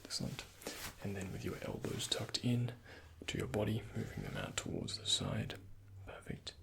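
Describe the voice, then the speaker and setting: Soft half-whispering man, deep crackly voice. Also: huge pitch swings, moderate pace. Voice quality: breathy, often half-whispered. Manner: gentle instructions with soft reassurance. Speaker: ASMR creator playing a doctor. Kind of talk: soft-spoken ASMR medical roleplay.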